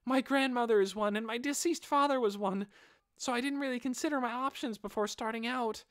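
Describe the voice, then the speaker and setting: A man's voice: extremely high pitch, brisk, fairly bright tone, clean tone, no room sound, studio quality, voice pushed up. A man voicing an earnest teenage boy, an apprentice apothecary who pleads imploringly, in an audiobook story. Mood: resolute.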